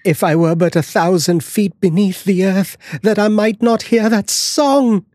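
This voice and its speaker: A man's voice: mid-range voice, animated, measured pace, a little mellow, husky, dry recording, studio quality, pitched above the performer's own voice. A man voicing a terrified, guilt-ridden mother who cries out in anguish.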